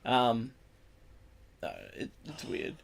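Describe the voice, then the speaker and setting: A man's voice: mid-range voice, slow pace. A man hosting an informal group chat on a podcast, often restating his points for emphasis. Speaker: podcast host.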